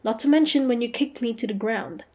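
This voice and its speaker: A woman's voice: a little high, brisk, darkish tone, close to an everyday voice. A woman playing a proud, defiant monster hunter in a dramatic fantasy audio roleplay. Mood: indignant. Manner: haughty, taunting, dramatic. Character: proud, defiant young female monster hunter, grudgingly curious.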